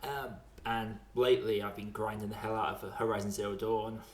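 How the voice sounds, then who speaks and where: A man with a fairly low voice talks in a relaxed, colloquial podcast style. He is chatty and pokes fun at himself. The recording is noticeably echoey and roomy. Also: fairly quick, slight background noise. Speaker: podcast speaker. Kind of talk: casual chat about video games.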